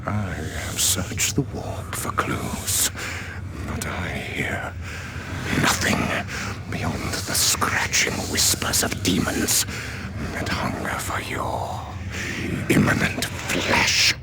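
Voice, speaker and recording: An actor playing a sinister, scheming chaos sorcerer in a game cutscene, speaking in slow, hissing whispers over loud orchestral music. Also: male voice, rumbling low, animated, gravelly, full character voice. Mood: menacing. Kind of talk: video game cutscene acting.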